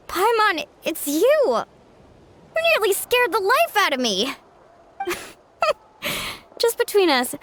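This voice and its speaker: A woman playing a cheerful, excitable young adventurer in a video game, friendly and expressive. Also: very high voice, heavily acted. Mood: startled, cheerful.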